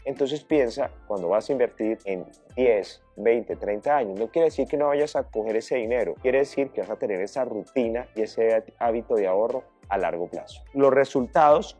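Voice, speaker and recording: A man's voice: mid-range voice, lively intonation, normal speed, few pauses, dark tone, soft background music. A man, a financial advisor in his thirties, coaching the viewer in a direct, earnest way. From Colombia. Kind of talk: direct-to-camera advice talk.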